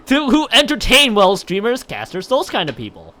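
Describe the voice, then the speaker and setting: A man's voice: high voice, wildly swooping pitch, moderate pace, pushed and bright. A man livestreaming, chatting and reading a visual novel aloud, often stumbling over and rereading his lines. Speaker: live streamer.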